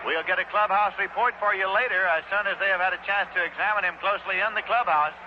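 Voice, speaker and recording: A man with an American accent calling baseball play-by-play in a measured, explanatory way on an old 1960s radio broadcast. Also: high-pitched, muffled recording. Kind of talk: live baseball play-by-play commentary. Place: outdoor ballpark broadcast. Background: loud noise, stadium ambience.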